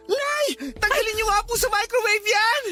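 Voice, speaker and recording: A woman's voice: very high-pitched, expressive, takes it slowly, exaggerated voice. A woman playing an irritated grown child who scolds an elderly mother in an exasperated, nagging way, as in a radio drama. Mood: exasperated scolding. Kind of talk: Tagalog radio drama acting.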